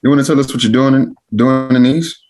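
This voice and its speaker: Guy reading a protective big brother, blunt, suspicious, impatient, normal pitch, table read. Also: fast talker, a little mellow, lightly acted. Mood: confrontational. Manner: blunt, confrontational.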